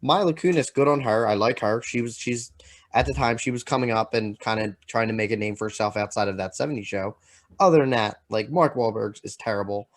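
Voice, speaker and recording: A man co-hosting a podcast in casual, opinionated chat, halting and repeating himself with lots of filler. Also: lowish voice. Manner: halting, repetitive, peppered with "like". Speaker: podcast co-host.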